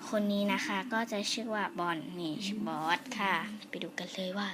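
A woman's voice: mid-range voice, fairly even intonation, normal speed, background noise. A woman telling a story casually to viewers, addressing them politely and directly as host. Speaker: host reading a homemade comic.

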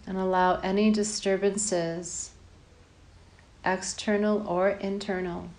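A woman leading a guided meditation as a teacher, giving calm, measured instructions in short phrases with pauses between them. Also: mid-range voice.

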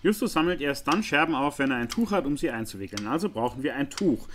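Animated man commentating on a game, noisy recording. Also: on the high side, fast. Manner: thinking aloud, talking to the viewers. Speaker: gaming video host. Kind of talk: casual game playthrough commentary.

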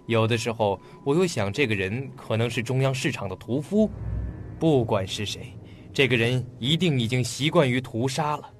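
An actor playing an earnest, polite young police detective in a radio drama. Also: male voice, medium pitch, moderate pace, lightly acted. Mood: grave, speculative.

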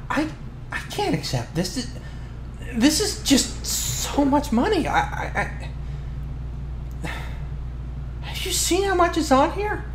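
A man acts out a young male bully who is secretly smitten, in an ASMR boyfriend roleplay. He stammers bashfully at a slow pace, with pitch that swings widely. The room echoes around him. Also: exaggerated voice. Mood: shocked, panicked. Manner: halting, stammering, bashful delivery. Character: flustered, tough-talking young male bully with a soft crush. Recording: roomy echo, noisy ambience behind.

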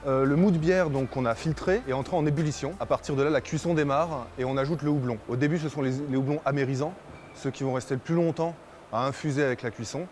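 A man's voice: medium pitch, quick pace, a little mellow, slight background noise. A man in his thirties, a brewer, explaining a process step by step for an interview. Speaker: brewer, co-manager. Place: indoors in a brewery hall. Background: music.

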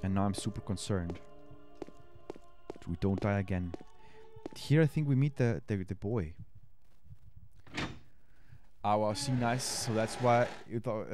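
A German-accented man in his thirties streams a horror game, chatting and thinking out loud into a headset mic in a small room at home. His voice sits fairly low. Faint music plays under his talk. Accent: German-accented English. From Austria. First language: Spanish. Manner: chatty, thinks aloud, reads game text, answers chat. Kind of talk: casual let's-play commentary. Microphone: headset mic at a desk.

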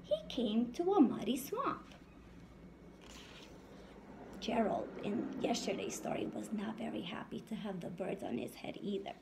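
Animated, slow-paced woman teacher. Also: medium pitch, noisy background. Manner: animated, instructive, addressing children. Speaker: first-grade teacher. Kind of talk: read-aloud to young children, question-led. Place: indoors in a home room.

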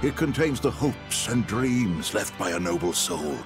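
A man playing a haughty old sorcerer in a video game, speaking in a measured, lecturing manner. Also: lowish voice, animated. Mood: lofty composure. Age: older. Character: haughty old bearded sorcerer, cold and lofty. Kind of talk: dramatic video game acting. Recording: game audio with music behind.

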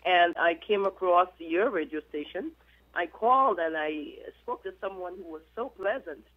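A woman's voice: fairly low pitch, moderate pace. A middle-aged woman from Guyana, a minister calling in to a radio interview and telling her life story in a reflective way. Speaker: guest, minister and author. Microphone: telephone. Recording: tinny phone line.

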